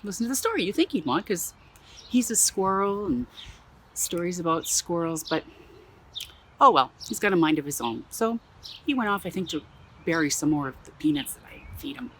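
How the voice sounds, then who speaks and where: Lively woman reading a children's story aloud. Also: fairly low pitch, normal speed, creaky, slight background noise. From Canada. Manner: expressive, playful, adds exclaimed asides. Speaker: museum storyteller. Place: own backyard.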